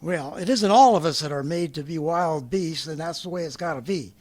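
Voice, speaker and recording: A man's voice: a little high, fairly quick, darkish tone, close to an everyday voice. An actor playing a rambling, boastful former militiaman who laughs to himself as he lays out his survival plan, in 1930s radio drama style. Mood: scheming.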